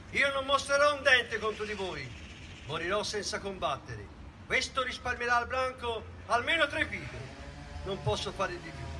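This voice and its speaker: Guy doing an old tired wolf chief, grave, kinda high for a man, far from the mic. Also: lightly acted. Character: old weary male wolf pack leader. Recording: distant mic with noisy background.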